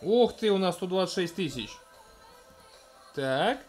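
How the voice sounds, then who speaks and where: A man in his thirties casually commenting on a video game in a gentle, coaxing way, talking to a small child beside him at home. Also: sits high, expressive, unhurried, faint music behind. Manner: gentle, coaxing, talks to the child, narrates what is on screen. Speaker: let's-play host playing with his toddler.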